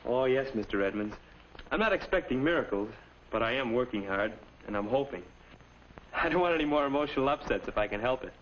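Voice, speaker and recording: A muffled old film soundtrack with clear noise carries a young man playing an anxious high school boy. He is polite and earnest, with very animated pitch, in the manner of 1950s classroom film acting. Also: a little fast, lightly acted. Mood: earnest, hopeful. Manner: earnest, deferential.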